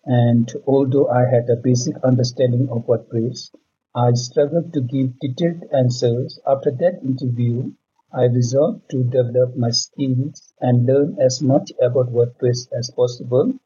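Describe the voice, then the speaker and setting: A man from Bhutan reads his story steadily as a podcast guest. His voice is very dark and a little husky. A dull, lo-fi mic carries it. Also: mid-range voice, steady tone, a little slow. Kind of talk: prepared testimonial.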